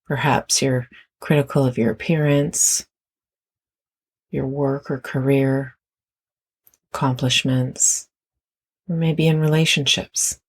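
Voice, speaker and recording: A meditation guide giving gentle, unhurried instructions with long pauses between phrases. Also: medium pitch, steady tone, slightly rough.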